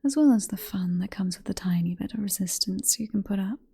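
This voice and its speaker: Whispering British vampire seductress, played by a woman. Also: mid-range voice. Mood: seductive, teasing. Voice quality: soft, breathy whisper. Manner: slow, coaxing, condescendingly affectionate. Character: possessive, seductive female vampire professor, hypnotic and teasing. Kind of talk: whispered ASMR roleplay.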